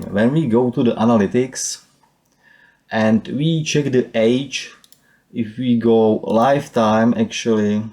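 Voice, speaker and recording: A man with a Czech accent walking through his channel's stats, speaking non-native English haltingly with many fillers. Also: medium pitch, mellow. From Czech Republic. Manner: halting, with frequent "uh" and "let me say". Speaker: YouTuber. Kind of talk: screen-share channel walkthrough.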